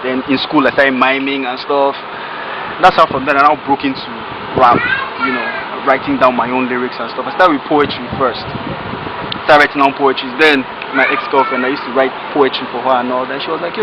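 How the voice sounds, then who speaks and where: A young man with a Nigerian accent talking in a relaxed, rambling, slangy way, interviewed outdoors in a residential compound on a close-held camera. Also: medium pitch. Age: young adult. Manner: laid-back, rambling, slangy. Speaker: rapper guest. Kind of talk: casual interview. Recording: dull audio with outdoor noise.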